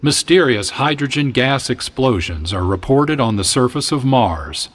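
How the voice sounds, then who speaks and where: A man hosting a radio drama, introducing it in a measured, formal scripted style. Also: medium pitch, animated, slightly rough. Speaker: host and announcer.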